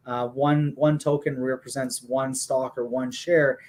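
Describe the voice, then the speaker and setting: Male interview guest, mid-pitched, relaxed explanatory delivery, frequent verbal fillers. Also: measured pace. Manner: steady, explanatory, many fillers like "you know" and "um". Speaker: guest explaining a hockey league business model. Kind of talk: casual conversational explanation.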